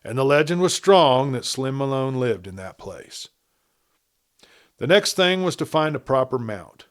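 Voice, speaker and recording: A man's voice: medium pitch, lively intonation, unhurried, clean tone. Man narrating a western tale. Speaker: audiobook narrator.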